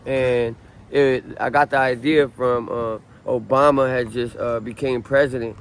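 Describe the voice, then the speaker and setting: A man's voice: mid-range voice, a little slow, smooth. Laid-back man rambling through a story. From Southern California. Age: 30s. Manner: relaxed, rambling, many ums and restarts. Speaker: interviewee. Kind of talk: casual street interview storytelling. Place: outdoors on a city street. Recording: faint street noise outdoors.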